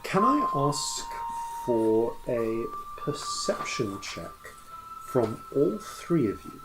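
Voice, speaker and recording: A man narrating a tabletop game as game master, improvising in a halting way as he thinks aloud. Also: medium pitch, very animated, music in the background, low-quality recording.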